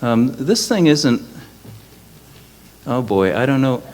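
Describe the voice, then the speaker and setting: A man speaking on a panel, halting and restarting as he searches his slides aloud. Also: mid-range voice, sing-song, darkish tone. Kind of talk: lecture with slides. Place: lecture hall. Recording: reverberant hall, faint noise.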